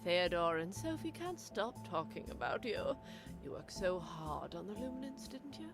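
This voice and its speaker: An actress playing a haughty, condescending rich matron in a visual novel. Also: female voice, lowish voice, big pitch swings, moderate pace, over music. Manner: condescending, snobbish. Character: haughty rich society matron, council member.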